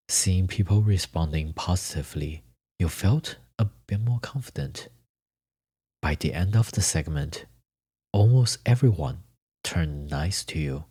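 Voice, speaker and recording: Slow, low-voiced man narrating a story. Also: dark tone, breathy edge. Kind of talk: scripted second-person story narration.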